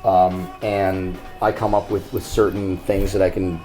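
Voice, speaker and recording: A man from Canada with a very low voice tells his stories loudly and at ease, with a frank, joking edge. Soft music plays faintly behind him. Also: measured pace. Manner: loud, relaxed storyteller with a frank sense of humor. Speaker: tribute singer being interviewed. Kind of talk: casual interview.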